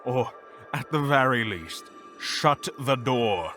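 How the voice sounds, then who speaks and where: A man's voice: mid-range voice, expressive, slow, pushed and bright, faint music behind. A man playing a condescending, theatrical villainous mage in a fantasy audio drama. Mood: dry disdain. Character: haughty villainous mage in his tower.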